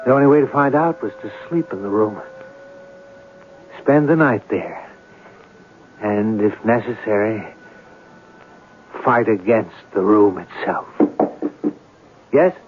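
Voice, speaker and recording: An actor playing an inquisitive, romantic writer, probing and deliberate, in a 1970s radio mystery drama. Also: male voice, on the low side. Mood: brooding, suspenseful. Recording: noisy, narrow-band old radio broadcast.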